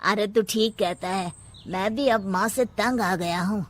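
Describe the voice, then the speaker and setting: A woman's voice: medium pitch, measured pace, natural voice. A woman voicing a frustrated, principled adult son in a cartoon moral story. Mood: firm, fed up. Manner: firm, reproachful.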